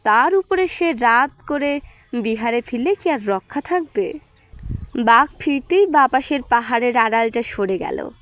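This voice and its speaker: High-voiced woman reading a literary story. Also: expressive, measured pace, a bit bright. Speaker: audio story reader. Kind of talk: scripted literary narration.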